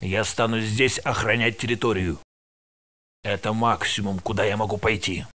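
An actor voicing a tired male security guard in a research facility in a video game dub, with a gravelly, matter-of-fact delivery. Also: on the low side, fairly even intonation, moderate pace, pushed and bright. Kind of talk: game voice lines, Russian dub.

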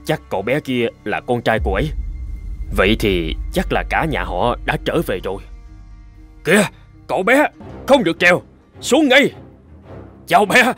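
Anxious middle-aged husband, animated swooping pitch, exclamatory, cartoon, faint music behind. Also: male voice, normal speed, pauses often, husky. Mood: panicked, urgent. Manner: exclamatory, muttering to himself. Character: stout middle-aged husband, curious, anxious, easily alarmed.

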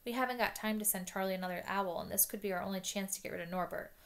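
A woman's voice: medium pitch, fast talker, vocal fry, natural voice. Woman voicing a determined teen wizard boy, plain storybook voice. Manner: urgent, matter-of-fact.

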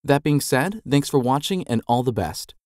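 A man's voice: medium pitch, animated, moderate pace, darkish tone, dry recording, studio quality. A man reading a scripted promotional outro as a channel announcer.

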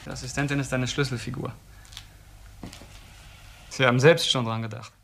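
A man's voice: mid-range voice, fairly even intonation, moderate pace, vocal fry, fairly bright tone. A man playing an obsessive, insistent accuser in a German crime radio drama, brooding over every point. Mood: urgent, brooding.

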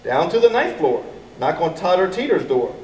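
A middle-aged man reading a children's storybook aloud in an expressive, deliberate manner. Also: fairly high pitch. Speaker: elementary school staff member reading to children. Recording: echoey, distant mic with background noise.

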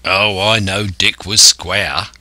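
Man as a surly, growling, slow pirate coxswain. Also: sits low, fairly even intonation, bright, forceful tone, no echo, full character voice. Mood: impatient. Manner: growling, surly, rough seafaring dialect. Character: impatient, grumbling male pirate coxswain.

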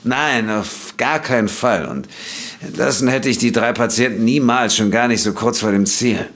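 An actor playing a troubled, earnest doctor in a German audio drama, speaking formally and carefully, a little haltingly. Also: male voice, mid-range voice, a bit bright, close to an everyday voice. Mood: indignant. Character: troubled male doctor, earnest, indignant when accused. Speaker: doctor in a detective story.

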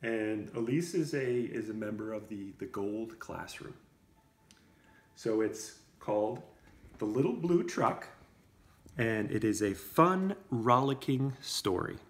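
A man in his thirties reads a picture book to young children. His pace is leisurely and his pitch moves in a lively way. He adds casual, simple explanations as he goes. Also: slow pace. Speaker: parent reading to preschool class. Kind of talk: children's storybook read-aloud with casual asides. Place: indoor room with brick wall. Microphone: camera a short distance away.

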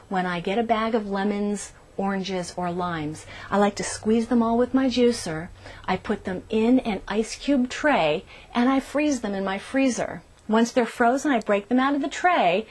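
A woman's voice: on the high side, darkish tone. A middle-aged woman hosting a cooking show, explaining each step in an upbeat scripted way from a small RV kitchen on a lapel mic.

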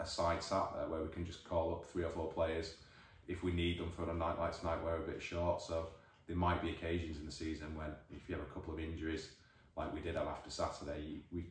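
A man in his forties with a Northern English accent, a football manager giving a measured, thoughtful post-match interview, recorded by a distant camera in an empty changing room. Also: deep voice. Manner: measured, thoughtful, many restarts. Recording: echoey room, voice from far off.

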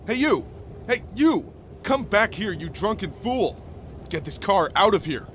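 A man's voice: high-pitched, big pitch swings, a little slow, a bit bright, a little hiss or hum, full character voice. A man playing an indignant property owner in a radio drama, scolding and hollering. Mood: outraged.

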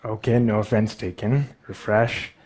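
A man with a Pakistani accent speaks from a large stage into a headset mic. He tells his story with wry, self-deprecating humor, at a medium pitch. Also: fairly even intonation, a little slow, darkish tone. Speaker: speaker giving a talk.

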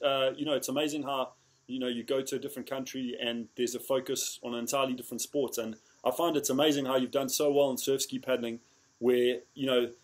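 A man's voice: medium pitch, rough recording. Hesitant male interviewer on a video call. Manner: hesitant, many fillers. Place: indoor room. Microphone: laptop or phone on a video call.

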